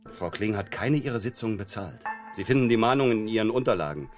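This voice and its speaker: Actor as condescending deep-voiced psychotherapist. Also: male voice, animated, music in the background, lightly acted. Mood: dry, guarded. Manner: measured, condescending, dryly ironic. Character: self-assured, probing male psychotherapist. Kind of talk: German radio crime drama acting.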